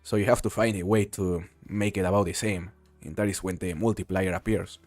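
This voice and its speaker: Casual male streamer, lowish voice, easygoing talk to chat, dry mic. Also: a little fast, dry recording, soft background music. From Mexico. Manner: relaxed, chatty, answers viewers while playing. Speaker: game streamer. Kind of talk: casual live-stream commentary, reading chat.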